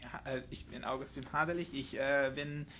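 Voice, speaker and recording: A man in his thirties who grew up in Italy answers interview questions with a gravelly voice. He pauses often and fills the gaps with little sounds. There is faint noise in the backstage room around him. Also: mid-range voice, steady tone, a bit bright. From Italy, to German parents. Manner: hesitant, many fillers. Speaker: guest violinist.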